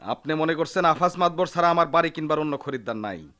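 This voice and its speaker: Feverish, impulsive village man, rather high voice. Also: animated, fast talker, bright, forceful tone, no room sound. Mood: angry. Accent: rural Bangladeshi. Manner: impassioned, pleading, repeats himself. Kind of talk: Bengali radio drama acting.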